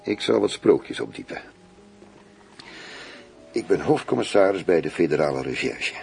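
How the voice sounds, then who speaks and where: Deep-voiced, smug undercover police chief. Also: male voice, big pitch swings, normal speed, creaky, a little mellow, faint music behind, heavily acted. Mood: confiding, boastful. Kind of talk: intimate radio play dialogue.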